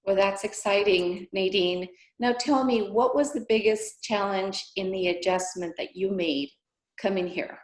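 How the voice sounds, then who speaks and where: A woman's voice: medium pitch, measured pace, reverberant, rough recording. A woman hosting an interview show, giving prepared introductions and questions.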